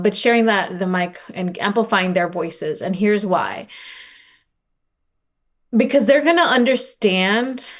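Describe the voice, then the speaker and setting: A woman with a mid-range voice speaks as an interview guest. She is reflective and informal, thinking aloud and sprinkling in "like" as she retells conversations. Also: mid-range voice. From raised in Los Angeles, born in Oaxaca. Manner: reflective, informal, frequent "like" and retold dialogue. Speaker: podcast guest, nonprofit director and advocate.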